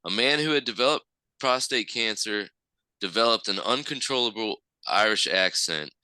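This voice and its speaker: A man with a lowish, very bright, smooth voice reads a news story aloud on a casual podcast. He is easygoing and stumbles now and then. The sound is close and dry. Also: lively intonation, moderate pace, no echo, poor audio. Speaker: podcast co-host. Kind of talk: casual chat, reading a news article aloud.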